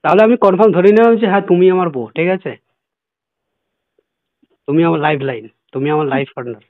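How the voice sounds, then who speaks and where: A man's voice: fairly high pitch, brisk, no echo. A man sweet-talking and coaxing on a prank phone call, often checking in with the listener. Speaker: prank caller. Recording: dull lo-fi call recording.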